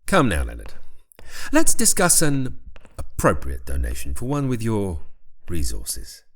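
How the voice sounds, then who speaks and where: A man's voice: on the low side, huge pitch swings, a little slow, creaky, full character voice. Shrewd priest looking out for his friend, dry n formal, super swoopy delivery, radio drama. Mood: pointed, stern. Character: shrewd, protective priest, loyal old friend. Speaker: voice actor. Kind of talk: audio drama acting.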